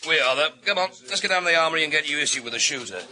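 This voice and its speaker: An actor playing a British detective inspector in a radio thriller, polite and conversational, with slightly halting speech. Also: male voice, fairly high pitch, expressive, pushed and bright. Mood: brisk, decisive. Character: male police detective inspector, hesitant, courteous, matter-of-fact boss. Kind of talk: naturalistic radio thriller acting.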